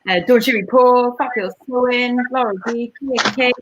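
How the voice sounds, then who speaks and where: A woman's voice: on the high side, fairly even intonation, moderate pace, muffled recording, noisy background. A Welsh woman hosting a casual live stream, chatting in a rambling way with lots of fillers and restarts. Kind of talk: casual chat.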